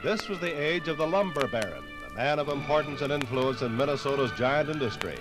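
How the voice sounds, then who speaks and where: An American man reading a scripted 1950s radio documentary in a formal, measured, authoritative way. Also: mid-range voice, animated, music in the background, poor audio. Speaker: narrator. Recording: vintage 1950s radio LP recording.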